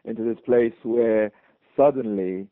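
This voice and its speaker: A man with a London accent, talking as an interview guest in a fairly low voice. He halts and restarts often, repeating words as he goes. The sound is dry and thin, like a phone line. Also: no room sound. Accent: London English. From England. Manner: halting, many repeated words and restarts, chuckling. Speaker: guest, bar owner. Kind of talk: casual interview.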